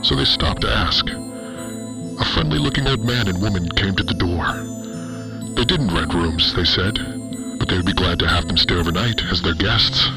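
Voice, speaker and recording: Guy doing a brooding space villain reading a ghost story, low gravelly, self-important, music behind. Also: moderate pace. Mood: solemn, spooky. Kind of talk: scripted radio-style ghost storytelling.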